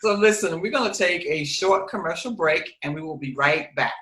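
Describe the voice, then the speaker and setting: A man's voice: on the high side, expressive, moderate pace, pushed and bright. A man hosting a casual interview, effusive and affirming. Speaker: host. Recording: echoey, roomy sound.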